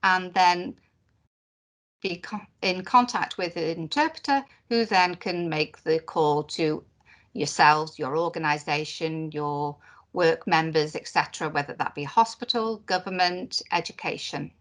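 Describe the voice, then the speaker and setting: A woman voicing a deaf presenter's signed conference talk into spoken English, in short phrases with pauses and fillers. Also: fairly low pitch, a bit bright. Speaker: sign language interpreter voicing a deaf presenter. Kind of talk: live voiced interpretation of a conference talk.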